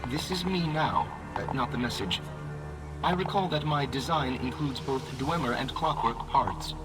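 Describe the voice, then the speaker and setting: A man's voice: mid-range voice, animated, normal speed, music in the background, natural voice. A man voicing an ancient, earnest clockwork automaton in a video game, with a grandiose, theatrical manner. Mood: solemn, helpful. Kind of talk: AI-voiced video game dialogue.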